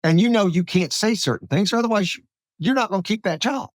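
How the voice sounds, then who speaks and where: An American man hosting a casual conversational podcast, animated and repeating phrases for emphasis. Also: high-pitched, fast, no echo, studio quality. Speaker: podcast host.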